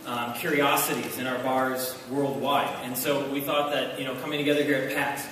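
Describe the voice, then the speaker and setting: A man from Wisconsin moderating a convention panel, talking in a halting way with many fillers and restarts. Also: mid-range voice, flat delivery, noisy background. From Wisconsin, USA. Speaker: panel moderator and bar owner. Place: large convention hall. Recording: echoey hall mic from far off.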